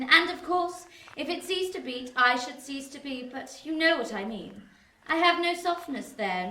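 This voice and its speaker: A woman's voice: high-pitched, sharp tone, full character voice. A woman acting an elegant, cold, proud young lady in a radio play, detached and precise. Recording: roomy echo, distant from mic.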